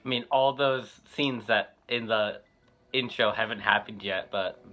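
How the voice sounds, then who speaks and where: A man's voice: fairly low pitch, fairly even intonation, a little slow, sharp tone, faint music behind. A man giving casual, amused reaction commentary, often echoing what he has just heard. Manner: amused, echoes lines he hears, often laughing. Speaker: reaction video host.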